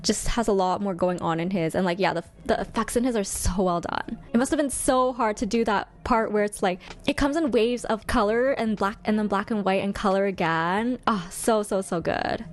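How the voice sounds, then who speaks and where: A woman streamer chatting casually with her viewers, commenting in an expressive, gushing way and thinking her choices through out loud. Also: mid-range voice, normal speed, hardly any pauses. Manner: expressive, gushing, deliberating aloud. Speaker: game streamer and host.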